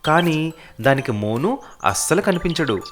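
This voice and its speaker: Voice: medium pitch, big pitch swings, moderate pace, soft background music. A storyteller narrating a scripted animal fable for children.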